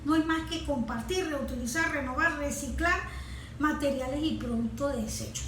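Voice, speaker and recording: Venezuelan lady guest, high voice, informal answers, echoey w a bit of background noise. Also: normal speed, a bit bright. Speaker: guest, environmental consultant. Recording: roomy echo, faint background noise.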